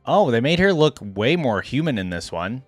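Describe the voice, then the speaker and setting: A man's voice: mid-range voice, sing-song, normal speed, no echo, faint music behind. A man giving casual reaction commentary as a video host, musing aloud in a rambling way.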